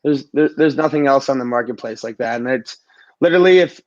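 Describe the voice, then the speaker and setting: A man with a raspy voice from a recent cold, talking casually as a guest and often repeating words as he starts sentences. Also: medium pitch, a little fast, darkish tone, lo-fi sound. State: recovering from a cold. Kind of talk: casual interview talk.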